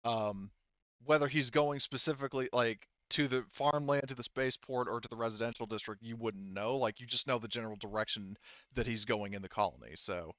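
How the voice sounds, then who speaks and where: A man narrates a tabletop game in casual, halting speech, often repeating himself. His voice is bright and clear, of middling pitch, on a dry but slightly lo-fi track. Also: no echo. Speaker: game master.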